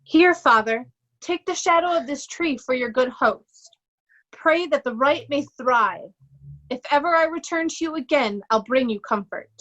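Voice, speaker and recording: A woman's voice: high voice, lively intonation, unhurried, fairly bright tone, muffled recording. A woman playing a loyal young nobleman in a Shakespeare reading, earnest and urging. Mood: gentle. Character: loyal, urgent young nobleman guiding his father. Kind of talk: Shakespearean verse read in reader's theatre.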